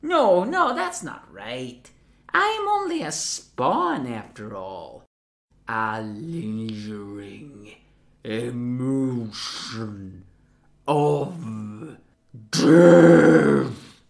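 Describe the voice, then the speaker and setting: A woman voicing a nervous, jittery spawn of death who swings between chirpy greetings and hushed lines. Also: a bass voice, big pitch swings, drawn-out words, long pauses, roomy sound, heavily acted.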